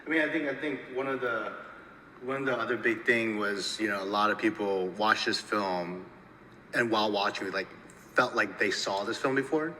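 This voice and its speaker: A man from Minnesota answers festival interview questions haltingly, repeating words and often adding "you know". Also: on the low side, sharp tone. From United States (Minnesota). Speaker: filmmaker and actor. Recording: echoey hall, faint ambient noise.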